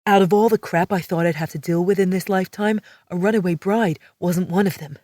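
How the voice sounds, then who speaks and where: Woman doing a curt young man, sarcastic, clipped, fairly low, dry close sound. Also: fairly quick, darkish tone, no room sound, recorded from a distance, lightly acted. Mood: exasperated. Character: blunt, brusque young man, exasperated then tender.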